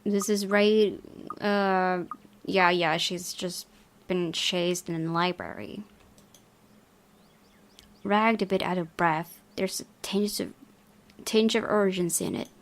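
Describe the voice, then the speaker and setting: A woman's voice: on the low side, lively intonation, takes it slowly, pauses often. A young woman reading visual novel narration aloud as the host of a gaming video. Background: game music with guitar.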